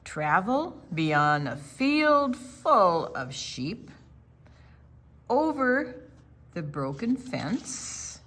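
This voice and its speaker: A woman voicing a wise barn owl from a storybook, handing out solemn instructions in measured, weighty phrases. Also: lowish voice, big pitch swings, a bit bright, a little hiss or hum, exaggerated voice. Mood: instructive, grave. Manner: slow, measured, weighty pronouncements.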